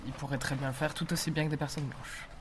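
A woman's voice: low-pitched, steady tone, fast, music in the background. A woman giving her opinion hesitantly, as an interviewee would. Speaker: street interviewee.

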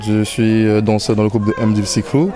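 An eighteen-year-old man, a dancer answering interview questions in a relaxed, halting way. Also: on the low side, music in the background. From Belgium. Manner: relaxed, halting, many fillers.

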